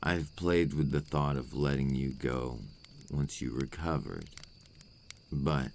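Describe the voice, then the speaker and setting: A man's voice: a bass voice, slow pace, vocal fry, a little hiss or hum. A man playing a possessive, remorseful vampire captor in an intimate ASMR roleplay monologue, speaking in formal, old-fashioned phrases. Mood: regretful, measured.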